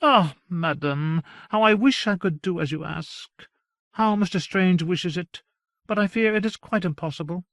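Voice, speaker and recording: A man doing a gushing, fawning gentleman. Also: high-pitched, moderate pace, no echo, clean studio sound, voice pushed up. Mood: flattering. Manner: effusive, flattering, exclamatory.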